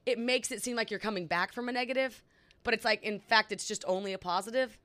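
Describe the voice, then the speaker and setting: A woman's voice: medium pitch, quick pace, a bit bright. A woman in her thirties co-hosting a podcast, telling stories in a chatty, rambling way with lots of fillers. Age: 30s. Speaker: podcast co-host. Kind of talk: casual chat.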